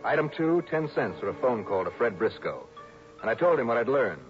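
An actor playing a wry, steady investigator, dry and matter-of-fact, in 1960s radio drama style. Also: male voice, medium pitch, brisk, faint music behind, close to an everyday voice. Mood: weary, wry. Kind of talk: 1960s radio drama dialogue and first-person narration. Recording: dull old radio recording.

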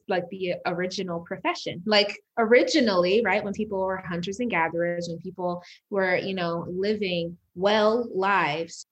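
A woman's voice: mid-range voice, breathy edge, low-quality recording. A woman from Uganda, raised in the US, speaking as an upbeat, motivational guest on a casual podcast interview, often laughing. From Uganda, raised in Las Vegas. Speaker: guest entrepreneur.

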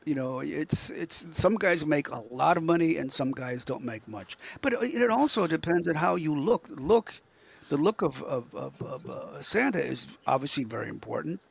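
Older male interviewee: higher-pitched, gravelly fry, earnest and rambling, conversational anecdotes with repeated phrases. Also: animated, normal speed. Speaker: professional Santa Claus performer, interview guest. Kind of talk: casual anecdotal chat.

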